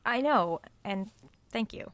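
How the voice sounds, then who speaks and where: Woman acting as frustrated college girl, slangy, slow and hesitant, keeping secrets, radio play. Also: fairly high pitch, lively intonation, a bit bright. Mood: casual, awkward. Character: secretive, frustrated college-age granddaughter on a search.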